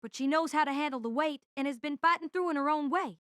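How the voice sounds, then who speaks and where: Woman doing friendly nerdy engineer boy, really high bright voice, chatty, asks loads, audio drama. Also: quick pace, dry recording, studio quality. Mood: curious, earnest. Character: eager, friendly young male engineering senpai.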